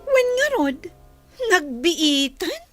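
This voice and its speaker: An actress in a radio drama playing an excitable young female friend who gushes and squeals. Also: high-pitched, slow pace, music in the background, full character voice. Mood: excited. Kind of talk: broadcast radio drama acting.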